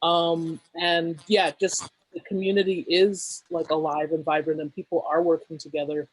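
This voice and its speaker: Hesitant higher-voiced man. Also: flat delivery, moderate pace, no echo, poor audio. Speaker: roundtable panelist, community organizer. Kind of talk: casual discussion.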